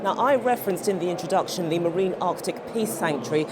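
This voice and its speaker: Lower-pitched woman presenter, noisy background. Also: fast talker. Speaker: interviewer and presenter. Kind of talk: live broadcast interview. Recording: clear outdoor-like traffic noise behind.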